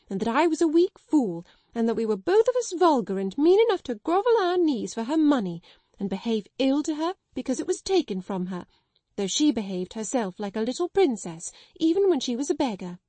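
A woman's voice: animated, moderate pace, mellow, dry recording. A woman voicing a timid middle-aged spinster schoolmistress who breaks into tearful, hysterical accusations. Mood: hysterical, accusing. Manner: tearful, pleading, shrill outbursts.

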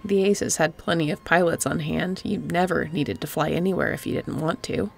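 A woman playing a guarded, wry spaceship captain in an intimate sci-fi audio roleplay, with a gravelly voice and dry, teasing, conversational delivery. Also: sits low, normal speed, heavily acted. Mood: soft, probing. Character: guarded, wry female spaceship pilot captain, softening toward an old friend.

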